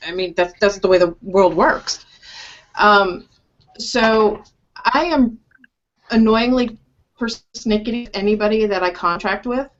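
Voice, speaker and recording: A woman from the northeastern United States, a virtual assistant, speaking in a brisk, blunt and assertive way on a video call. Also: mid-range voice. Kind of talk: casual video call discussion. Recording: dull video call audio with faint noise.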